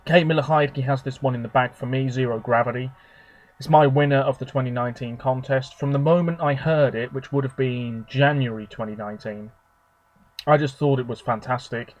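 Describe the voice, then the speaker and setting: A man with a slightly hoarse, husky voice from a sore throat, chatting on his own channel. He talks in a rambling, relaxed way, giving his opinions one after another at a middle pitch. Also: a little fast, rough recording. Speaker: video host. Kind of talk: casual solo commentary.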